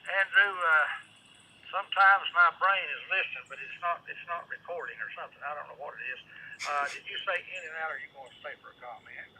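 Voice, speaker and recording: Gravelly guy running a ham radio net, rambles n repeats himself, sharp radio sound w faint static. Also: a little high, fast talker, rough recording. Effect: ham radio transmission. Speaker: amateur radio net controller. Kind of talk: casual radio net check-in chat.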